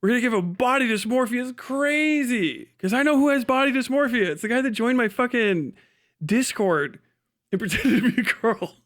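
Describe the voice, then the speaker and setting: High-pitched man, casual profane streamer. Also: expressive, normal speed, a bit bright. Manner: incredulous, mocking, heavy swearing and slang. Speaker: livestream host reacting to a video. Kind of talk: casual profane reaction commentary.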